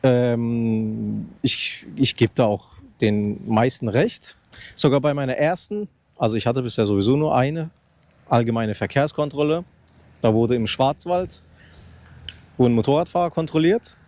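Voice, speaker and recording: A man's voice: medium pitch, measured pace, darkish tone, dry recording. A man in his thirties, chatting casually outdoors on a handheld mic held close to his mouth, rambling and restarting his sentences. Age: 30s. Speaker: motorcycle vlogger. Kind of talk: casual unscripted vlog. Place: outdoors beside a loud motorcycle. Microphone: handheld mic raised close to the mouth.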